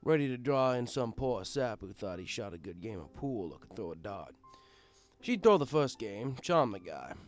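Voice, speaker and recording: Lively man narrating a wry story. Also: medium pitch. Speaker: audiobook reader, first-person narrator.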